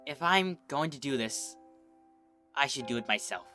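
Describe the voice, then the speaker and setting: A man's voice: mid-range voice, animated, normal speed, sharp tone, soft background music. A man voicing a small, frightened young filly who stammers through tears. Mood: timid. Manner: tearful, stammering.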